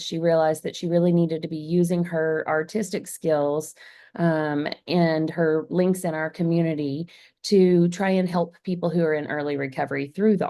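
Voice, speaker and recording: Hoarse low-voiced woman presenting. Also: dark tone. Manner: brisk, organized, hurried. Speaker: executive director. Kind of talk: webinar presentation.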